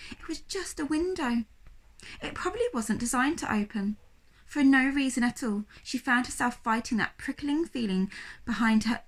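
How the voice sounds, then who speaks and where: A woman reading a children's story aloud like a primary school teacher, with expressive, dramatic narration. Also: a little high, low-quality recording.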